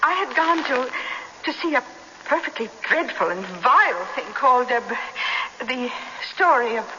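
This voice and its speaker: An actress playing a prim, flustered middle-aged spinster in a radio drama, stammering and over-genteel. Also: female voice, sits high, lively intonation, unhurried, bright, forceful tone, exaggerated voice. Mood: embarrassed, hesitant. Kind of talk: 1970s radio drama acting. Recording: dull, noisy old radio broadcast, reverberant.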